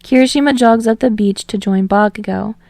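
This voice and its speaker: Creaky-voiced woman reading fiction. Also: mid-range voice, brisk, darkish tone, no room sound. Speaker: podfic narrator. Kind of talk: expressive fiction narration with casual sign-off.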